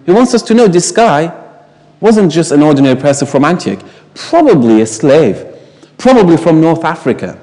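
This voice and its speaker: A man's voice: fairly high pitch, very animated, normal speed, warm tone, in a large hall. A man whose first language is Farsi, preaching a sermon in an emphatic way, asking the congregation rhetorical questions.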